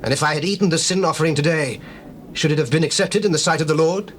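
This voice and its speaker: A man playing an earnest biblical high priest, speaking formally in a dramatized scripture reading. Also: fast talker, a bit bright, slight background noise, close to an everyday voice. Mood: earnest pleading.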